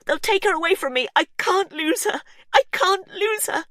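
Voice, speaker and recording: A woman voicing a desperate young mother, pleading and highly emotional. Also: normal speed, dry recording, clean studio sound, close to an everyday voice. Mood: frantic.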